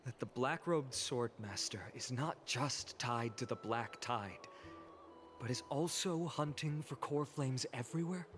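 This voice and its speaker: A man's voice: medium pitch, unhurried, fairly bright tone, soft background music, close to an everyday voice. A man playing a serious, questioning character in a video game. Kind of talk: dramatic video game voice acting.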